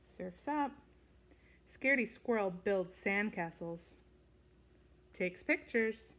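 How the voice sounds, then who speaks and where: A woman reading a children's picture book aloud in an expressive, deliberate way with dramatic emphasis. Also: mid-range voice. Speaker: storybook reader.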